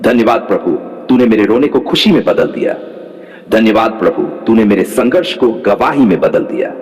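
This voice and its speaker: A man's voice: fairly low pitch, expressive, moderate pace, darkish tone. A man leading a scripted devotional prayer, speaking fervently in rhythmic, repeated declarations. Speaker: prayer leader. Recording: long hall reverb.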